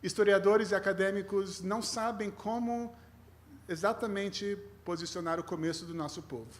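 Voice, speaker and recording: A man from Rio de Janeiro with a slightly mixed Brazilian accent, a rabbi giving an informal, humorous sermon in a halting, conversational manner. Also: high voice. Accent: Brazilian with a mixed foreign tinge. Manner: conversational, halting, self-deprecating. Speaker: rabbi, guest preacher.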